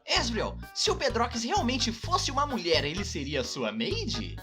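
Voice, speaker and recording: A woman as lively, high-pitched cartoon fans. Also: a little slow. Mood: curious, teasing. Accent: Brazilian. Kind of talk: comedic cartoon voice acting, reading fan questions. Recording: music behind the voice.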